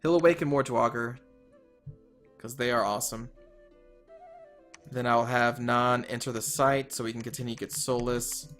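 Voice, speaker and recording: Man, mid-pitched, a bit hoarse; casual game commentary, thinking out loud, faint music behind. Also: steady tone, moderate pace, pauses often. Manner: thinking aloud, trailing off, self-correcting. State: slightly hoarse, losing his voice. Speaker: let's player.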